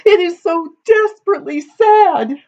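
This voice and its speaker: High-pitched sobbing young farm girl. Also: animated, a little slow, darkish tone, dull audio, full character voice. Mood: tearful, despairing. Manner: sobbing, plaintive. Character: weepy, tender-hearted young farm daughter, silly and sentimental.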